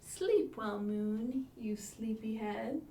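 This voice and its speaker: A woman's voice: a little high, slow pace, warm tone, slight background noise. A woman hosting a children's storytime, explaining books and rhymes simply for young listeners. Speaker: children's librarian, storytime host.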